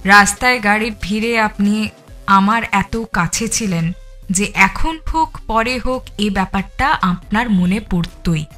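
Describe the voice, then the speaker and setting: An actress playing a cold, scheming murderous wife in an audio thriller, composed, menacing and contemptuous. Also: female voice, mid-range voice, measured pace, pushed and bright, faint music behind. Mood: calm, calculating. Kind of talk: dramatised audio thriller acting.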